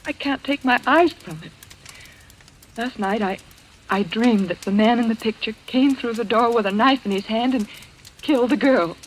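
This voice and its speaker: A high-pitched, fearful young woman played by an actress in an old radio thriller. She is breathless and halting, full of melodramatic dread. The recording is noisy. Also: close to an everyday voice. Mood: uneasy dread. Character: terrified, nervous young woman haunted by nightmares. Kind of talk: old-time radio drama acting.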